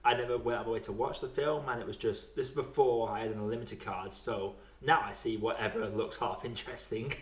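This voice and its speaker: A man's voice: mid-range voice, fairly even intonation, brisk, hardly any pauses, reverberant. A man in his twenties chatting casually as a podcast host, informal and often chuckling as he talks. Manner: chuckles often while talking, informal swearing. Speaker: podcast host and film reviewer.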